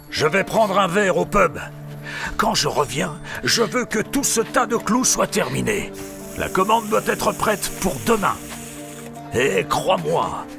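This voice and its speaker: An actor playing a cruel, cunning old drunkard blacksmith in an audio drama, with a gruff, gravelly, muttering delivery. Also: male voice, on the high side, heavily acted. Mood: gruff, bullying. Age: older. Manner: gruff, drawling, muttering hesitations. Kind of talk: French audio fiction acting.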